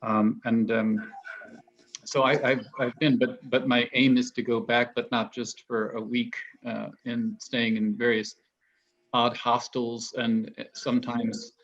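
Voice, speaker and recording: A man's voice: mid-range voice, level tone, takes it slowly, a bit bright. Hesitant man with dull lo-fi sound. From Texas. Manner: hesitant, many restarts and ums. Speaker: literary translator, guest. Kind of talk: casual panel conversation.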